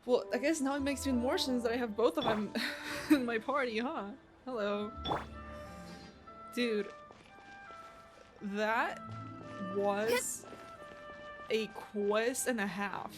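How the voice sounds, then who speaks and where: A woman's voice: on the high side, slow pace, pauses often. A young woman streamer giving casual live reactions to a video game, with music playing behind the voice.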